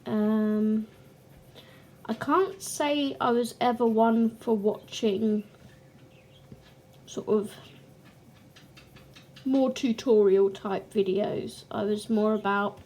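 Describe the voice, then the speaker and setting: Croaky, slow-talking woman. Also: medium pitch, steady tone, a little mellow. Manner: reflective, stops to clear her throat. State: croaky, short of breath. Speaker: colouring channel host. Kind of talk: casual chat to camera.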